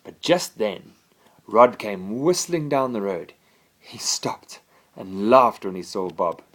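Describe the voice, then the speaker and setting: A man's voice: lowish voice, expressive, slow pace. A man reading a children's bedtime story expressively, as a father to his child.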